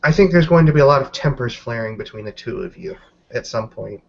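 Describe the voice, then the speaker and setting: A man's voice: mid-range voice, animated, fairly quick, gravelly. A man playing a frank, wry, skeptical female werewolf packmate in a tabletop role-playing game. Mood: wary, frank. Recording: dull lo-fi audio.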